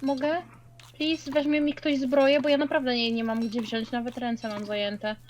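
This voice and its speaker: A woman's voice: high-pitched, little pitch movement, normal speed, slight background noise. A woman chatting casually while gaming, slangy, dropping in English words and swearing. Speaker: co-player in a multiplayer game.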